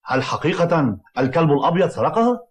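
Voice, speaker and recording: A man voicing a stern, methodical village policeman in a dubbed cartoon, official and deliberate. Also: on the high side, big pitch swings.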